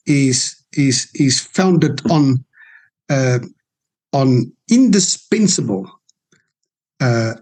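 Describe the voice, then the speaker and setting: An older man, a law professor, explaining history in a measured, lecturing way with frequent restarts and fillers. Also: medium pitch, a little mellow, breathy edge. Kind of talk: interview discussion.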